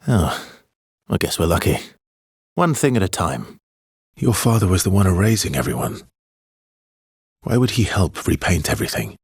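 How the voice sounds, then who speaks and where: Male game hero: weary, guarded expeditioner with quiet regret. Very raspy, low voice, understated and brooding. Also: sing-song, moderate pace, long pauses, dry recording, clean studio sound, full character voice. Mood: guarded, matter-of-fact. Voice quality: husky, breathy. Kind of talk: video game voice lines, dramatic acting.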